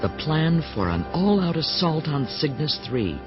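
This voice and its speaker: A man's voice: a little high, very animated, unhurried. A man narrating a scripted radio play in a dramatic style. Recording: dull lo-fi radio play, music.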